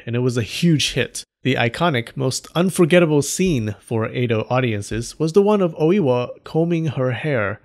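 A man narrating scripted stories as a host, in an informal, wry and dramatic way with casual asides. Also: mid-range voice. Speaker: storyteller and host of an animated folktale channel.

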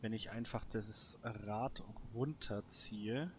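A man's voice: fairly low pitch, slow. A man giving casual solo gameplay commentary, musing and muttering to himself as he thinks aloud. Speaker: gaming YouTuber, let's player. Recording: clear background noise.

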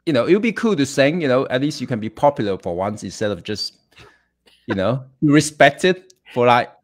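A man's voice: mid-range voice, expressive, fairly quick. A middle-aged man chatting as a guest over a video call, joking and chuckling. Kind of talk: casual video call chat.

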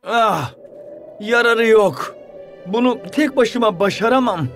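Young cartoon football hero, earnest, high voice, slow pace, easily rattled. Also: male voice, a bit bright, soft background music, exaggerated voice. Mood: discouraged, surprised. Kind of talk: animated cartoon dub.

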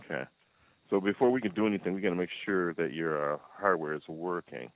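Fast, deep-voiced male phone agent. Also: no room sound. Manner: apologetic, accommodating, hesitant phrasing. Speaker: customer support agent. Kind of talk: customer service phone call. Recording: muffled narrowband phone line.

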